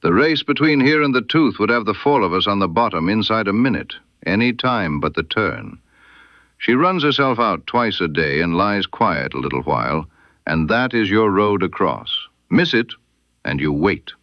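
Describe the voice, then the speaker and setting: A man performs a taciturn lighthouse keeper from an audiobook mystery. The voice is low and creaky, with swinging intonation, and the words come curt, guarded and rustic. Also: expressive, moderate pace, dry recording, muffled recording. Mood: brusque warning. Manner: curt, plain-spoken, rustic turns of phrase. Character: gruff, wary, taciturn lighthouse keeper and boatman.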